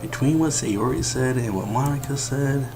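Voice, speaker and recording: A young man with a deep voice quietly hosting a let's play, commenting and reading the game text aloud in a subdued way. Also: moderate pace. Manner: subdued, keeping quiet late at night. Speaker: gaming channel host. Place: at home, indoors at night. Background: game music.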